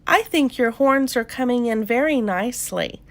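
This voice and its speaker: Woman playing a young female storybook fawn, kindly, sensible, reassuring, lilting, medium-to-high voice. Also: lively intonation, moderate pace.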